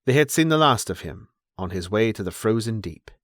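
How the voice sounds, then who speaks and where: A man with a fairly low voice narrates period fiction. He is a measured, dramatic storyteller, and the recording is dry and close, as in a studio audiobook. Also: expressive, no room sound. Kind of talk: scripted literary narration.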